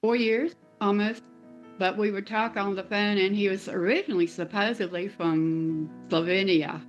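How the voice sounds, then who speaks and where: A woman's voice: mid-range voice, steady tone, moderate pace, dull audio. Woman interviewed over faint guitar music. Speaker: interview guest telling her own story. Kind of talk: conversational interview answers.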